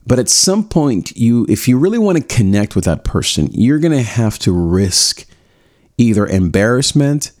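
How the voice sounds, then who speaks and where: A man's voice: medium pitch, very animated, normal speed, a little mellow. A man giving social skills advice in a casual spoken lesson, reflecting on a personal story and often correcting himself as he talks. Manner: reflective, self-correcting, acts out quoted lines.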